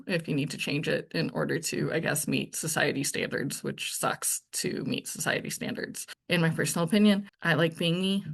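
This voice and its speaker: A woman talks to her viewers in an even, monotone voice, blunt and direct. Her tone carries gravel and a slightly breathy husk. She thinks aloud, circling back and restarting as she goes. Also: sits low. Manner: blunt and to the point. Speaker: channel host. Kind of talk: casual true-crime commentary monologue.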